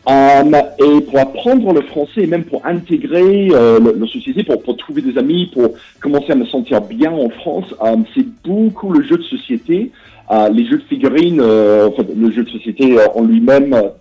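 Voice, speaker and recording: A man's voice: mid-range voice, normal speed, faint music behind. A man from Britain with a British English accent, a board game publisher answering interview questions in French with many fillers and restarts. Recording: dull lo-fi audio.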